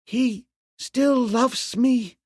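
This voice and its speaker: Young lovesick princess, high, breathy pleading, man reading. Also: very slow, no echo, studio quality, exaggerated voice. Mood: wistful. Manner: pleading, soft-spoken.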